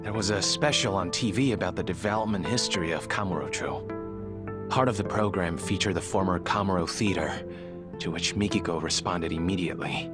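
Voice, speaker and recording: A man's voice: low voice, lightly acted. An actor playing a formal, guarded male medical examiner in a video game drama, choosing his words with care. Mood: composed, formal. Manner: formal, measured diction, falters when pressed. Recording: music behind the voice.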